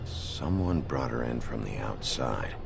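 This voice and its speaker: Very deep gravelly, world-weary detective. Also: male voice, a little slow, heavily acted. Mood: dry, weary. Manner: terse, muttering, deadpan. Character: gruff, world-weary male detective, terse and stoic. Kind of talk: video game voice acting. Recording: game audio under loud eerie music. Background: loud ominous game music.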